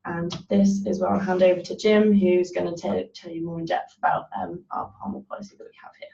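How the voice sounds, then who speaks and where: A woman's voice: lowish voice, flat delivery, brisk, gravelly, warm tone. A young woman, a student, giving a webinar talk with frequent hesitations and ums. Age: young adult. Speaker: student presenter. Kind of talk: webinar presentation. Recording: distant, echoey, muffled webinar audio.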